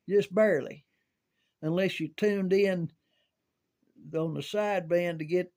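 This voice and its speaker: Older american guy rambling about old memories, real slow n halting, kinda high voice, folksy. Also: darkish tone. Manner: halting, folksy, repeats words. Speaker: storyteller sharing recollections of CB radio and volunteer rescue. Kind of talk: casual rambling reminiscence.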